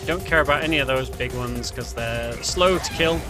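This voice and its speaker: A man's voice: medium pitch, normal speed. A man giving casual, slightly hesitant gameplay commentary as a speedrunner, often correcting himself as he goes. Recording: game music behind voice. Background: game music and sounds.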